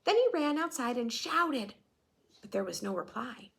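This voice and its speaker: Animated woman reading to young children. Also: fairly high pitch, moderate pace, creaky, slight background noise. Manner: expressive, questioning asides to young listeners. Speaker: children's story reader and host. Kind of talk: read-aloud storytelling for young children with chatty asides.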